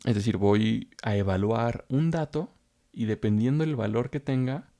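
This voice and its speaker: A man's voice: mid-range voice, normal speed, mellow. A man teaching programming in a coding tutorial, explaining step by step and repeating himself as he goes. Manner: explains step by step, repeats phrases, frequent fillers. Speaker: programming instructor. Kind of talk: screen-recorded coding tutorial.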